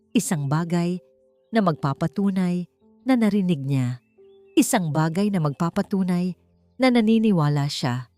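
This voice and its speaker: A woman's voice: fairly low pitch, darkish tone, no room sound. A woman with a Filipino accent reading a scripted story in a dramatic narrating style. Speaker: audiobook narrator. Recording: music behind the voice. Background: music.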